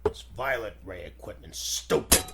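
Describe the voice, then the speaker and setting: A man playing a gruff, bullying, impatient gangster boss in 1940s radio style, with a gravelly voice and slangy, insulting tough talk. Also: deep voice, animated, normal speed, heavy background noise. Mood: smug, sneering.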